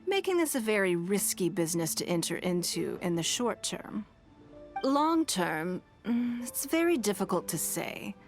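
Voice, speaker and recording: A rich shrewd business lady from a game, calm n formal, measured talk, music behind. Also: mid-range voice. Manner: poised, measured, elegant, formal. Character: composed, shrewd, wealthy business magnate lady of high office. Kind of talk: video game voice acting. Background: background music.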